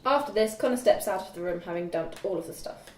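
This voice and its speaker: A woman's voice: mid-range voice, quick pace, a little mellow. A young woman reading out screenplay stage directions as scripted narration. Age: young adult. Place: indoor room at a group read-through. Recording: echoey room, distant mic, faint noise.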